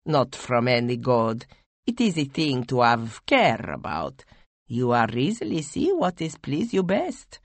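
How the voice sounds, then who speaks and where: Lady doing a laid-back guy with broken grammar, low and slow, dry sound. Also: huge pitch swings, dry recording, heavily acted. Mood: easygoing. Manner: broken non-native grammar, easygoing. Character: relaxed foreign man with broken grammar.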